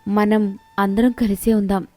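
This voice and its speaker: A woman voicing a young, humbled city daughter-in-law in a moral story, expressive and earnest. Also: medium pitch, measured pace, no echo, close to an everyday voice. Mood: apologetic, earnest.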